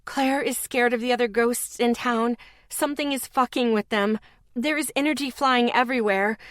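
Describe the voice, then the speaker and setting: A woman voicing a panicked young woman in tears, loud and urgent. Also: fairly high pitch, fairly even intonation, moderate pace, dry recording, studio quality, pitched above the performer's own voice, natural voice. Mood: distraught. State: crying.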